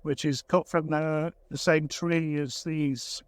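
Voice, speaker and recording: A man talking casually as a craftsman being interviewed, with a thoughtful, halting way of phrasing things. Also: a little high, fairly even intonation, smooth, no room sound.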